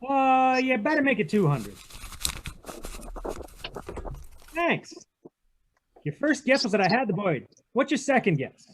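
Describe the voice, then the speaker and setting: A man acts a hard-boiled private eye in a 1940s radio mystery. The voice is high for a man, with a terse, sardonic delivery. He comes across as tough, wry and impatient. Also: sing-song, moderate pace, slight background noise. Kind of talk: 1940s radio drama read-through with narration. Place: video call read-through.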